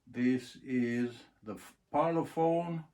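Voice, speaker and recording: A man giving a casual, unscripted demonstration, thinking aloud in short, halting phrases. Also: mid-range voice, a little mellow. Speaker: record collector presenting a demonstration. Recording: clear background noise, clicks.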